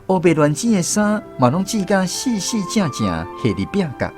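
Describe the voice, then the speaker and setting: A man's voice: high voice, huge pitch swings, moderate pace, a little mellow, soft background music. A man with a Taiwanese accent reading scripted story narration in a radio drama.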